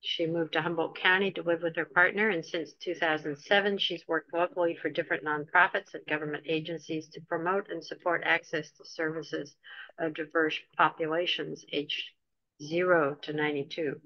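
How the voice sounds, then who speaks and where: A woman hosting an online talk, introducing the speaker in a chatty, relaxed way with light jokes. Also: sits low, moderate pace, no echo, lo-fi sound. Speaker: host and moderator. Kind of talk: introducing a speaker over a video call.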